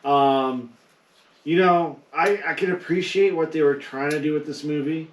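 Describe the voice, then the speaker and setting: A man's voice: on the high side, moderate pace. A man chatting casually as a panelist on a group podcast. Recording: roomy echo, distant mic.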